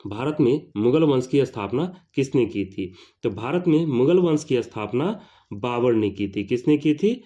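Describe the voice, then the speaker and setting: Man, dark mellow voice, didactic teacher, repeated questions and answers, dull lo-fi recording. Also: medium pitch, expressive, normal speed. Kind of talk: exam question-and-answer lesson. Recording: dull lo-fi sound.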